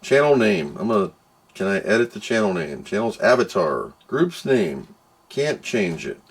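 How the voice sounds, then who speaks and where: A man's voice: fairly low pitch, sing-song, moderate pace, husky. A man giving a casual, unscripted product review as host, thinking aloud and grumbling as he goes. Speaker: host of a product test video.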